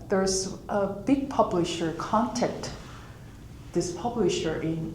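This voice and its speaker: A woman with a Korean accent talks slowly and thoughtfully about her own experiences at a live author event. Now and then she acts out her own inner voice. Her voice carries through an echoey room with a faint hum of ambience behind it. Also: fairly low pitch, warm tone. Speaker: author and pianist giving a talk. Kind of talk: live author talk, personal storytelling.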